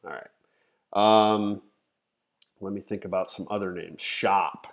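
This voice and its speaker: Congested man teaching in a low voice. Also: fairly even intonation, slow pace, creaky. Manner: conversational, thinks aloud, frequent "okay?" and "you know". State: congested, nasal. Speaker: trading educator, live stream host. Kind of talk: casual live-stream teaching.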